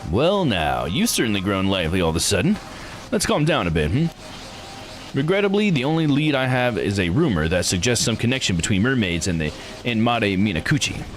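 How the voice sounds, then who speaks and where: A man's voice: on the low side, sing-song, brisk, slight background noise. A man voicing a sly, courteous, inquisitive researcher in a visual novel, with a mannered, teasing delivery. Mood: polite, probing. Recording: music behind the voice.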